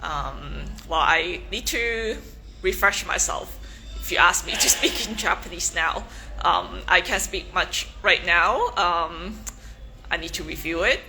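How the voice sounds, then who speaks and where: A young woman from Hong Kong, a native Cantonese speaker, introduces herself on a casual livestream, slowly and with many ums and restarts. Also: lowish voice, lively intonation, bright, forceful tone, soft background music. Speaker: pageant contestant.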